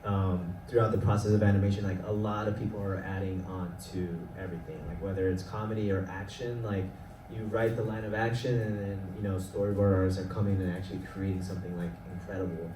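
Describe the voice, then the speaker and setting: Low-voiced male moderator, roomy hall. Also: little pitch movement, fast talker. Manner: casual, filler-heavy questions. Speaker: panel moderator. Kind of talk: panel discussion. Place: event panel hall. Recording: roomy sound from a distant mic, faint noise.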